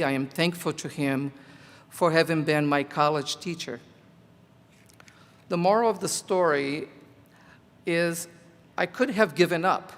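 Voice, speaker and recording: An older man whose first language is Portuguese gives a graduation address at a very unhurried, deliberate pace. His voice sits a little high for a man, and it rings out with a long echo through a big ceremony hall. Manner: slow, deliberate, earnest delivery. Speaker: commencement speaker, teacher. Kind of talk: commencement speech. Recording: echoey hall.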